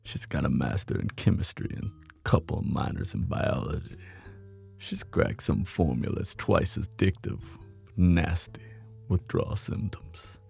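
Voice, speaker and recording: A man playing a flirty, menacing mob boss in an intimate ASMR roleplay, with a teasing, cocky drawl and a deep, gravelly voice. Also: moderate pace, darkish tone, faint music behind, full character voice. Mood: smug, businesslike.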